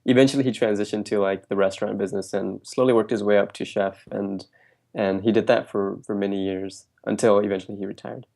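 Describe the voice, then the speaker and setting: A young man with a fairly low voice answers interview questions. He talks loosely and casually, with many ums and restarts. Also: fast, creaky. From Bangladesh, raised in New Jersey. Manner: relaxed, with frequent fillers and restarts. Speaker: guest.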